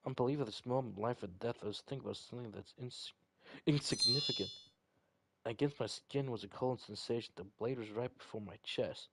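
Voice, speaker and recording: A man reading visual novel text aloud in an amateur, slightly halting way. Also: mid-range voice, gravelly, slight background noise, poor audio. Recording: loud music over voice. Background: loud piano music from the game.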